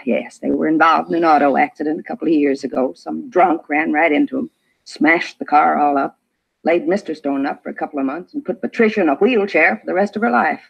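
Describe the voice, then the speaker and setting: A husky, creaky-voiced neighbor woman gives her witness account in homespun, clipped phrases. She is rattled and talkative, on a dull vintage radio crime drama recording. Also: sits low, brisk, heavily acted. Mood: shocked, indignant. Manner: plain folksy speech in clipped phrases. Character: shaken, talkative older female neighbor giving a witness statement. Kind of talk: old-time radio crime drama acting.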